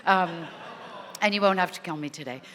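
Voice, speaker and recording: A woman voice actor on a convention panel, talking in a rambling, heartfelt way with many restarts and a naturally low voice. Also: normal speed, fairly bright tone, big-room sound.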